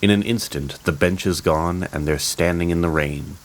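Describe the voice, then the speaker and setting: A man as storyteller, reading scripted, descriptive narration for a fantasy audio drama in a measured manner. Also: low-pitched. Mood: calm, descriptive. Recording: rain ambience noise behind the voice. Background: rain ambience.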